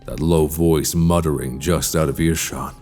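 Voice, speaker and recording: Very deep, ominous horror host. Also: male voice, fairly even intonation, warm tone. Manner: slow, deliberate, ominous, theatrical. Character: sinister male horror-story host, slow and menacing. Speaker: horror anthology host and narrator. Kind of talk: theatrical scripted horror narration. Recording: long reverb, music underneath.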